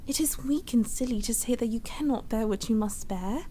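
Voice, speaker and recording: Woman doing a gentle pious girl in an audio drama, warm high-ish voice, earnest, faint noise behind. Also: normal speed, natural voice. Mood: gently earnest. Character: gentle, pious, patient schoolgirl.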